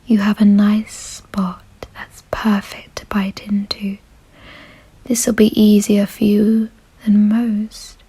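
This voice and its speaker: Woman acting as kindly vampire noblewoman, hushed airy whisper, slow pace, reassuring, doting, refined, ASMR roleplay. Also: medium pitch, completely flat delivery. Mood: calm, reassuring. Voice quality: soft, breathy whisper. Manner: gentle, reassuring, genteel, unhurried. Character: kind, doting aristocratic female vampire owner.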